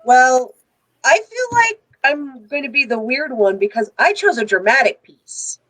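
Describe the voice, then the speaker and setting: A woman's voice: high-pitched, big pitch swings, measured pace, a bit bright, low-quality recording. A woman chatting casually as a panelist on a video call.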